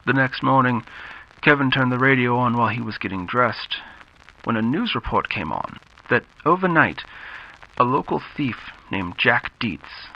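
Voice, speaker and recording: A man narrating a radio-style thriller from a script, dramatic and deliberate, with pauses for effect. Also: medium pitch, expressive, vocal fry. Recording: slightly dull, faint background noise.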